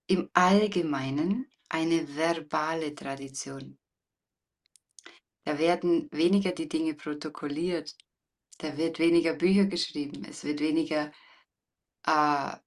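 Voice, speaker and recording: A woman with a deep, warm voice, a guest voice coach explaining things reflectively and deliberately in conversation. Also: recorded from a distance. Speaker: interview guest, voice coach and former singer.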